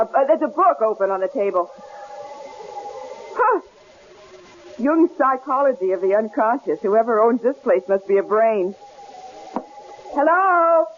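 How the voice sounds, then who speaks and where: An actress playing a headstrong, inquisitive wife who is easily shaken, in an emphatic 1970s radio drama style. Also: female voice, high voice, slow pace, long pauses. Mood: bold, curious. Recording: dull, noisy old radio broadcast recording.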